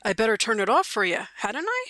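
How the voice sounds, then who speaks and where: A woman's voice: fairly high pitch, huge pitch swings, brisk, sharp tone, dry recording, studio quality, heavily acted. A woman voicing a tired, plain-spoken male police sergeant who talks in a matter-of-fact, obliging way.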